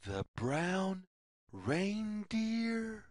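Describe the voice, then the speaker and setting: A woman reading a picture book aloud for young children in a deliberate, sing-song way, in short separated phrases. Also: medium pitch, very animated, a little mellow, no echo, clean studio sound. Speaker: children's story reader.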